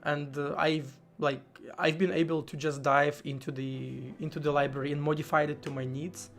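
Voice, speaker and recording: A man live-streaming while he codes, thinking aloud in a halting way, with many fillers and restarts. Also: on the high side, level tone, fairly bright tone, soft background music. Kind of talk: casual live-stream talk while coding.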